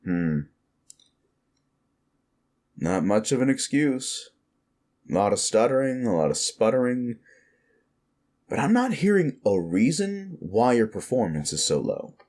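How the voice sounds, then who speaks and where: A man's voice: mid-range voice, big pitch swings, a little slow, pauses often, darkish tone. A man playing a smug, sleazy werewolf boss in an audio roleplay, talking down to the listener in a mocking, patronizing drawl. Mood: mocking, needling. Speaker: office boss.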